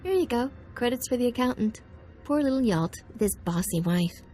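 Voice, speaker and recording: A sly, flirtatious woman, played by an actress, teases with a playful lilt. Her voice is fairly high but mellow and warm, with faint music behind. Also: lively intonation, normal speed, lightly acted. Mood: teasing. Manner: teasing, playful.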